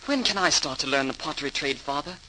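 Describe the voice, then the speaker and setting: Bright, eager young boy. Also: fairly high pitch, lively intonation, quick pace, low-quality recording. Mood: eager, earnest. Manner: eager, earnest, wide-eyed. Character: eager nine-year-old boy, earnest aspiring potter. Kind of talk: 1940s radio acting. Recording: hissy 1940s radio recording.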